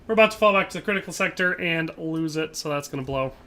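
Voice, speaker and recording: Bright, jokey male game streamer. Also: fairly high pitch, quick pace. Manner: jokey, chatty, laughs often. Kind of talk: live gameplay commentary, reading chat.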